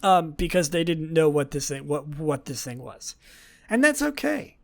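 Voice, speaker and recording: Hoarse young man, rambling podcast host. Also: on the high side, expressive, moderate pace. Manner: rambling, self-correcting, dryly sarcastic. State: hoarse, voice worn from talking all day. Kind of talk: casual solo podcast talk.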